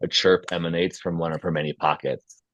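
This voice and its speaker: A man's voice: low voice, fairly even intonation, brisk, a bit bright, no echo. A man speaking as host and narrator of an informal script table read. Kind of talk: stage-direction reading and casual feedback discussion.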